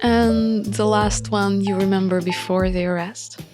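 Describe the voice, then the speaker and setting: A woman's voice: mid-range voice, steady tone, measured pace, close to an everyday voice. A woman voicing an outspoken, questioning young female alchemist in a visual novel. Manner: questioning, direct. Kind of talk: visual novel character reading. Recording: music under the voice.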